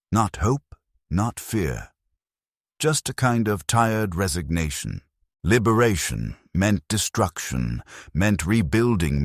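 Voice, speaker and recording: A man narrating a scripted dramatic science fiction story in a somber, resigned manner, with a gravelly, husky voice. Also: very deep voice, dry recording, studio quality.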